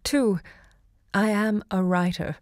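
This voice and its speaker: An actress playing an elderly American woman writer and literary hostess in an audio drama, speaking in a gracious, deliberate, theatrical way. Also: mid-range voice, steady tone, darkish tone, no echo, clean studio sound, close to an everyday voice. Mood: reflective, theatrical. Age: older.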